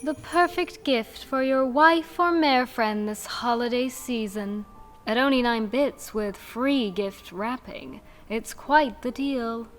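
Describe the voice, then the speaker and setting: A woman's voice: fairly high pitch, takes it slowly, soft background music. A woman voicing a young pegasus mare shop girl in a fan fiction drama, pushy and a little catty. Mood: cheerful, salesy.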